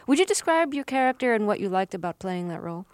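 A woman interviewer asking an actor long, measured questions about his roles. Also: mid-range voice, sing-song, a little mellow, no echo.

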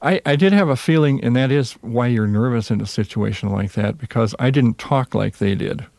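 A man with a Minnesota accent, a jazz musician answering interview questions in a reserved, hesitant way with many pauses. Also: medium pitch, gravelly, a little mellow, no room sound. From Minnesota, USA.

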